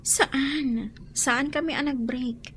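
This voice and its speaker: A woman's voice: high voice, steady tone, takes it slowly, slight background noise. An actress playing a sweet, dutiful young working woman in a radio drama. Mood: troubled, hesitant. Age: young adult. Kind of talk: Ilocano radio drama acting.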